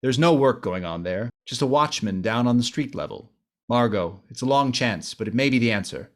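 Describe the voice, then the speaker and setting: An actor playing an urbane, analytical gentleman sleuth in 1930s radio drama style, measured and serious. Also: medium pitch, lively intonation, natural voice. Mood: urgent.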